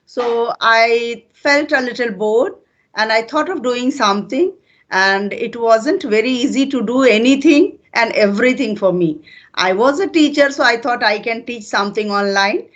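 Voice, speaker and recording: A woman's voice: fairly high pitch, fairly bright tone, dull audio. Older Indian woman, patient teacher. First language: Hindi. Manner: patient, teacherly, unhurried with fillers. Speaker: retired teacher and channel host. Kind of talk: casual interview conversation.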